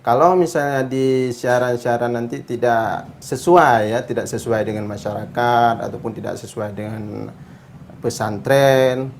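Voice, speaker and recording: A man speaking as a council member in an interview, halting and searching for words. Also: mid-range voice, fairly even intonation. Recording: faint background noise.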